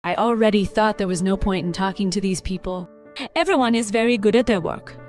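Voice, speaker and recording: A woman's voice: medium pitch, a little fast, darkish tone, soft background music, natural voice. A woman playing a weary, aggrieved young daughter-in-law in a cartoon family story. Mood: resentful.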